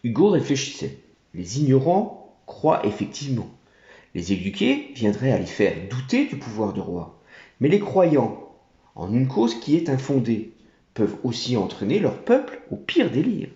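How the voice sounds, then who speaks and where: Male storyteller, playful and expressive, warm tone, roomy lo-fi take. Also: mid-range voice, normal speed. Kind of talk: read-aloud tale narration. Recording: roomy, dull home recording.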